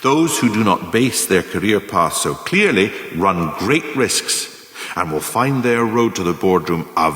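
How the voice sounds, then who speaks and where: Man acting low-voiced scheming management mentor, cavernous echo. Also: big pitch swings, pushed and bright, heavily acted. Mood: measured, cunning, instructive. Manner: formal, rhetorical, deliberate emphatic phrasing. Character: scheming, self-assured male management mentor dispensing cynical career lessons. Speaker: radio play actor. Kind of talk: scripted dramatic monologue, like a lecture of numbered lessons. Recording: very echoey, long hall-like reverb.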